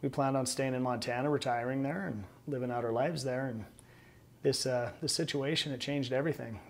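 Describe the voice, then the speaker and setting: American guy being interviewed at home, normal pitch, talking about his own life. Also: steady tone, normal speed, creaky. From United States. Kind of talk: personal account in an interview. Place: living room at home.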